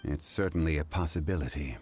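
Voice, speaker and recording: A deep, dignified scholar. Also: male voice, a little mellow, slight background noise. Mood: grave, composed. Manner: formal, composed, deliberate. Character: calm, dignified, scholarly man of measured wisdom. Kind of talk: English anime game voice acting. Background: music.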